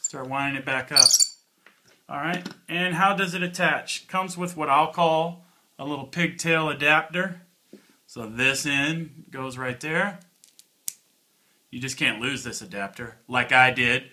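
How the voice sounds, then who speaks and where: A man's voice: a little high, unhurried, bright, forceful tone. A man giving a casual hands-on product review in an informal, plainspoken, folksy way. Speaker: product reviewer, channel host.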